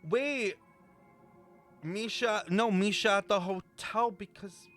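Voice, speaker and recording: A woman streaming a game, reacting casually and animatedly, swearing and thinking out loud. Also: medium pitch, takes it slowly. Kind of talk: casual live stream commentary. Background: game music.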